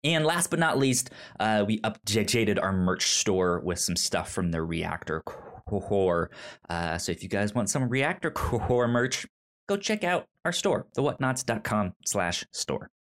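Halting, casual male podcast host. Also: on the low side, expressive, fairly bright tone. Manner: halting, stammering with repeated word starts. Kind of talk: casual book discussion.

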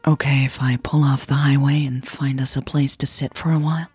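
A woman voicing a tender, teasing female lover in an audio drama, softly murmured. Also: rumbling low, flat delivery, a little fast, slightly rough, dry recording, full character voice. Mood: hesitant.

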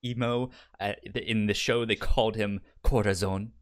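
A man co-hosting a podcast in casual chat, halting and animated, with a frequent stutter on sounds and words. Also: fairly low pitch. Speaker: podcast co-host.

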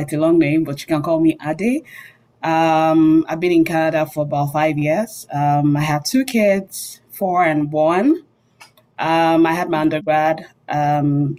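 A woman's voice: sits low, a little mellow. A woman, around her thirties, introducing herself and sharing experience informally as a student panelist.

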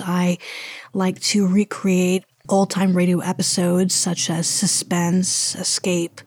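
A woman's voice: lowish voice, a little mellow, no echo, clean studio sound. A woman being interviewed as a guest, speaking conversationally and thoughtfully, feeling her way through her phrases. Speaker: interview guest, audio drama producer and voice actor.

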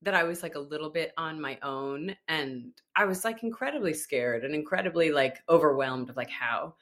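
A woman's voice: low voice, lively intonation, normal speed, a bit bright. A woman in her thirties, a guest actor, telling a story in a casual interview and acting out her own past thoughts.

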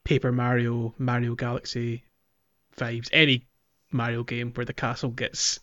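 A man's voice: medium pitch, completely flat delivery, a little slow, a bit bright. A man co-hosting a gaming podcast, chatting casually and often searching for his words. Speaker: podcast co-host. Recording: muffled lo-fi mic, music behind. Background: background music.

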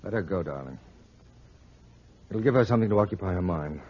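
A tough, steady detective in a 1940s radio drama. The voice is low and very husky, with terse, protective firmness, on a muffled old recording. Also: male voice, a little fast. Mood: calm concern. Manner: terse, firm, paternal. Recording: dull vintage radio recording.

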